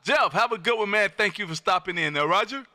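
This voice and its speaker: A man chatting casually as an amateur radio operator and livestream host, with a loud, folksy manner. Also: high-pitched, animated, fast talker, very noisy. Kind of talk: casual ham radio chat. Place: home radio room.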